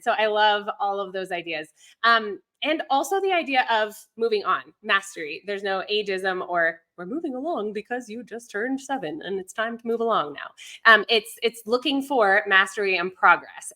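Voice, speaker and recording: Host role: a woman from Virginia, enthusiastic and affirming, with a bright voice pitched a little high. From Virginia, USA. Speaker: podcast host. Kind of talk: conversational interview. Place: home in Ecuador.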